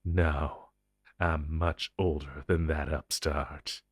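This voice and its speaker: A man's voice: extremely low pitch, fairly even intonation, slow, husky, no echo, studio quality, exaggerated voice. A man playing an ancient, possessive telepathic hive-mind entity in an intense audio roleplay, speaking huskily with theatrical, condescending deliberation. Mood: smug menace.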